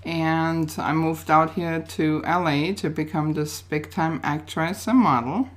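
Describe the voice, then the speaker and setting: A woman's voice: low-pitched, a little slow. A woman from Austria with an Austrian accent, answering interview questions in an upbeat, determined way. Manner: upbeat, determined, speaks in short affirmations. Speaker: guest, plus-size model.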